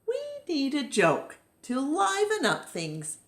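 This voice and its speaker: A woman's voice: high-pitched, huge pitch swings, slow, echoey room, pitched above the performer's own voice, full character voice. A woman voicing a playful, mischievous male elephant in a storybook, with cheerful theatrical exclamations. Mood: restless, scheming.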